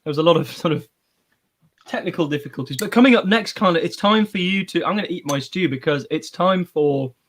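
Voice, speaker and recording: A man's voice: a little high, a little fast, a bit bright, slight background noise. A young man co-hosting a casual livestream, announcing segments in a playful, presenter-like way. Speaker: co-host.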